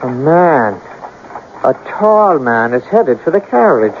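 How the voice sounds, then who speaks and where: A man's voice: medium pitch, sing-song, slow, narrow, tinny audio. An actor in a 1970s radio drama playing a skeptical, stubborn, earnest young man in love, who protests and grumbles. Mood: skeptical, tense. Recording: thin, noisy old radio broadcast recording.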